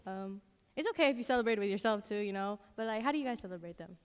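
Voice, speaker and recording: A woman's voice: mid-range voice, expressive, quick pace. A young woman co-hosting a school assembly, asking questions in a rambling way with many fillers. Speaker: student co-host. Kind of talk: asking interview questions on stage. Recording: long hall reverb.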